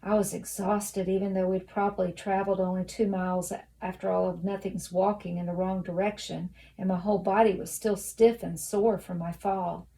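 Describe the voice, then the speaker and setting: A woman reading a children's novel aloud as expressive storybook narration. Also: medium pitch, moderate pace, hardly any pauses, poor audio.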